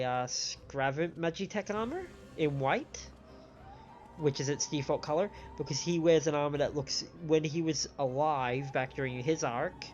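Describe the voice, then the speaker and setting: Chatty man with a lightly high voice. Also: a little mellow, dull audio. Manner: chatty, explanatory. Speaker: game streamer. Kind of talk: casual live game commentary. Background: game music.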